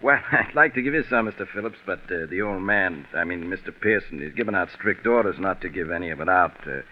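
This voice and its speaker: An actor playing a genial, folksy factory superintendent in a 1940s radio drama, easygoing and a little hesitant. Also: male voice, deep voice, lively intonation, quick pace. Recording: noisy, dull 1940s radio recording.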